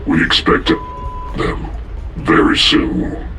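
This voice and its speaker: An actor playing an ominous, tight-lipped covert soldier in a video game news interview, slow and terse. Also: male voice, a bass voice, wildly swooping pitch, vocal fry, a crowd in the background, full character voice. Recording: echoey field broadcast, loud music.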